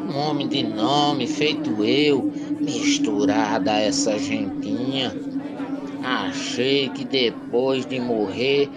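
Man acting a haughty snobbish major, radio drama, unhurried, loud crowd din behind. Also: medium pitch, natural voice. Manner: pompous, haughty. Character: snobbish rich major, indignant and contemptuous of the poor. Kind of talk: radio soap opera acting.

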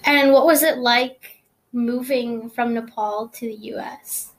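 A woman's voice: on the high side, fairly even intonation, slow pace, a little hiss or hum. A woman interviewing a student, who asks short questions and murmurs encouragement often. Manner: attentive, frequent affirming murmurs. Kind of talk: casual interview.

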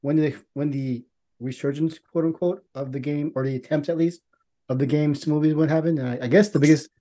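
Male podcast host, very quick delivery, casual and rambling, circles back mid-sentence. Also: a little high, steady tone, warm tone. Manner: rambling, halting, often restarting sentences; profane. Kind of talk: casual film discussion.

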